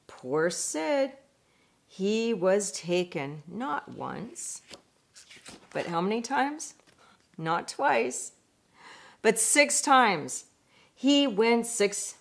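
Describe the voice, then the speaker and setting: A woman tells a picture-book story at a slow pace in a medium-pitched voice. Her delivery is expressive and deliberate. She slips into chatty asides to explain things to her listeners. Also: vocal fry. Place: indoors at home.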